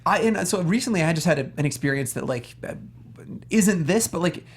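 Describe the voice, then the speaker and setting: A man's voice: on the high side, animated, brisk, husky. Earnest, rambling male talk show panelist. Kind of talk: casual film discussion.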